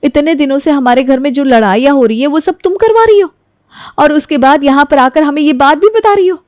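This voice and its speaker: A woman's voice: sits high, fairly quick, a little mellow, no echo, studio quality, lightly acted. A woman playing an easily provoked, stubborn married daughter in a Hindi audio story, speaking emphatically. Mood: angry. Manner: emphatic, indignant.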